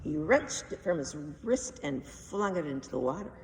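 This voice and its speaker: Expressive woman storyteller in an echoey hall. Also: low-pitched, moderate pace. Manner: dramatic, emphatic. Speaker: read-aloud storyteller. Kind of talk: expressive children's storybook narration. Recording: distant mic, echoey hall, faint noise.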